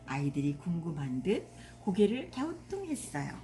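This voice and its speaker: A woman's voice: fairly low pitch, moderate pace. An older woman narrating a children's story as a grandmother storyteller, expressively. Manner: expressive, acting out dialogue. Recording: echoey room with clear background noise.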